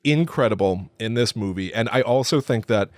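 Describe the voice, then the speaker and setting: A man's voice: medium pitch, moderate pace, no echo, clean studio sound. Man chatting casually on a film podcast. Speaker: podcast co-host. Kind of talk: casual film discussion chat.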